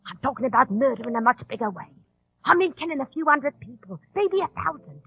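A woman's voice: high voice, very animated, fast talker, husky, exaggerated voice. An actress playing a chummy, probing tavern girl with shady schemes in a 1940s radio drama. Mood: conspiratorial. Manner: familiar, coaxing, probing. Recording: tinny, narrow old radio recording.

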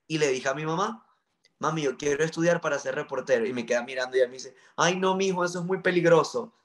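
Earnest Venezuelan man, higher voice. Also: fast. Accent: Venezuelan (Maracaibo). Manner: earnest, reflective, with frequent fillers. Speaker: journalist guest. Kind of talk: interview storytelling.

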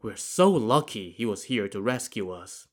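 A fretful exiled man, voiced by a male storyteller, speaks in a hushed, polite way that turns pleading. The voice stays close to the teller's own, with lively swings in pitch. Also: moderate pace. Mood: relieved. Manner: soft-spoken, pleading.

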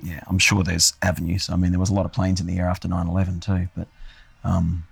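Hoarse, croaky man, very low. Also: monotone, fast talker. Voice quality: croaky, gravelly voice. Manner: dry, wry humor. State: hoarse from a cold. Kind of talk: casual chat. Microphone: held too far from the mic.

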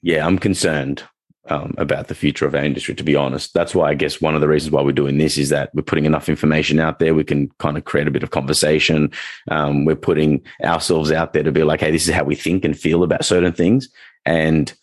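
Low-voiced man rambling about dog training. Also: level tone, fast, no echo, clean studio sound. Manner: rambling, frequent restarts and fillers. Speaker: dog trainer and podcast co-host. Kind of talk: casual podcast chat.

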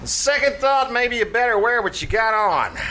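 Man acting a high-pitched, scheming tavern manager. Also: very animated, moderate pace, bright, forceful tone, heavily acted, low-quality recording. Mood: flustered. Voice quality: working-class dialect. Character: scheming, malaprop-prone New York tavern manager. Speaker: actor in a stage troupe. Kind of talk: live old-time radio comedy acting. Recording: live theater recording with background noise.

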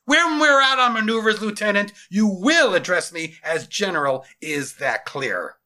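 Guy doing a bossy army general in a story, super forceful and declamatory, voice going up and down a lot, barks orders. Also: sits high, very animated, normal speed, pitched above the performer's own voice, full character voice. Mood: stern, barking. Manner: commanding, declamatory, barking orders. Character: domineering, zealous middle-aged male army general.

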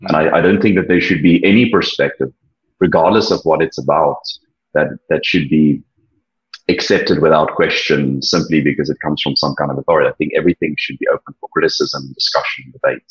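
A young South African man whose first language is Afrikaans, sharing his story earnestly, with frequent halting restarts. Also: extremely low pitch, steady tone, slightly rough. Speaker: interview guest. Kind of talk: personal testimony, reflective. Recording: dull lo-fi sound.

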